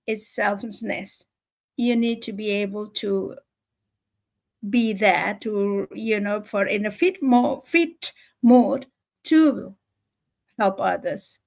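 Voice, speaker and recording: A woman from Nepal with a Nepali accent, giving an informal motivational talk in an earnest, emphatic, halting way, often repeating phrases. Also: mid-range voice, gravelly, a little mellow. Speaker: livestream speaker.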